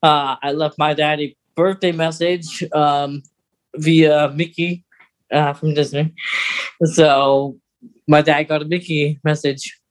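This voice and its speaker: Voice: medium pitch, little pitch movement, slow pace. A podcast co-host chatting casually in a hesitant, rambling way. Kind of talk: casual chat.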